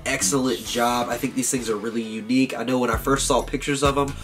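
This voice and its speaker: A man's voice: mid-range voice, steady tone, quick pace, over music. Nasal, congested man reviewing sneakers. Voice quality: nasal, raspy. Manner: upbeat, slangy, enthusiastic. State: congested with a cold. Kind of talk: casual product review. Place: indoor room lined with shoe boxes.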